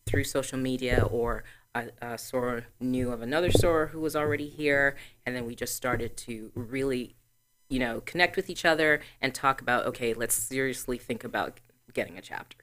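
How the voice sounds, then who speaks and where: A woman in her forties from Trinidad, a guest answering interview questions in a measured, explanatory way. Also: extremely low pitch, fairly bright tone, a little hiss or hum. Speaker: guest, sorority chapter president.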